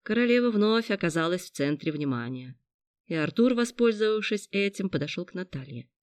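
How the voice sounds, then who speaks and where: An audiobook narrator reading a novel in a measured, even storytelling manner. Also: medium pitch, clean tone, no echo. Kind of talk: scripted narration.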